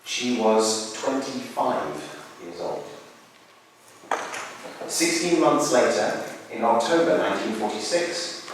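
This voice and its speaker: Measured man narrating in an echoey hall. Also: medium pitch, big pitch swings. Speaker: reader introducing a letter. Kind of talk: scripted narration. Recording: very echoey hall, distant mic, faint noise.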